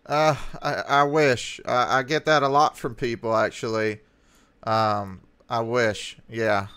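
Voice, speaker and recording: A man's voice: medium pitch, steady tone, slow pace, bright, forceful tone, smooth. A man baiting a phone scammer, talking hesitantly and stammering as he plays a naive caller. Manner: hesitant, stammering, playing dumb. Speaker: scam baiter streamer.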